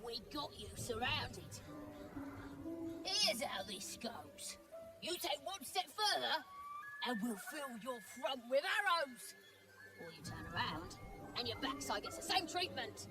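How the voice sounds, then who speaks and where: A menacing goblin raider from a video game, high in pitch and very animated. She swaggers and taunts in coarse speech over clear background music. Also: unhurried, far from the mic, poor audio. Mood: menacing, taunting. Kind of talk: video game dialogue acting.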